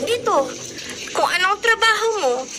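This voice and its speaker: A woman's voice: very high-pitched, animated, a little slow, background noise. A woman playing a young girl in a radio drama, with a bitter, earnest delivery. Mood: innocent. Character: young girl, bitter daughter, then bright younger sister.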